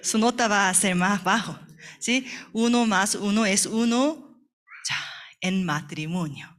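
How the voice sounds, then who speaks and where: A woman with a Korean accent teaches from the Bible as a workshop speaker. She speaks slowly at a middle pitch, in halting, simple phrases. She often drops in short questions to check that listeners follow. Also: fairly bright tone. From South Korea.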